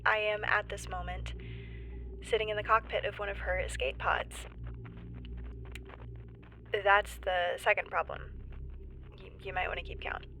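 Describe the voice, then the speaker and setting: A woman playing a nervous, wry stranded geologist in a sci-fi audio drama, halting and breathy, often near a whisper. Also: mid-range voice, flat delivery, pushed and bright, slight background noise, low-quality recording. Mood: anxious, wry. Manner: halting, awkward, wryly understated. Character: stranded woman, nervous civilian geologist keeping an emergency log. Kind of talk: found-footage sci-fi audio drama monologue.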